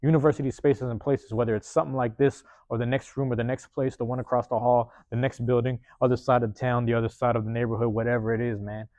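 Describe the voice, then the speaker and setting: A man hosting a podcast from a university room, giving a loose, slangy motivational monologue with lots of repetition, his voice getting a bit hoarse. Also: mid-range voice, level tone, fast, hardly any pauses, darkish tone, dry recording. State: voice going hoarse. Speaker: podcast host.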